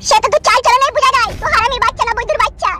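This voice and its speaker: A woman's voice: very high voice, rapid-fire. A woman voicing a scorned, vengeful, foul-mouthed village mistress in a cartoon melodrama. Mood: vengeful, furious. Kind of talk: amateur Bengali cartoon melodrama. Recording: loud music under the voice.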